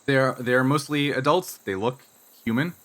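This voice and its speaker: Bright-voiced man running a tabletop game. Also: mid-range voice, measured pace. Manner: conversational, explanatory. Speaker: game master. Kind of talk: improvised tabletop game narration.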